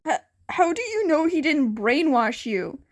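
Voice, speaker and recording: A woman reads a young male bully in a fan fiction story. He is hostile and accusing, with a defensive edge, in a fairly high voice. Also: very animated, unhurried. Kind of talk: fan fiction audiobook character voice.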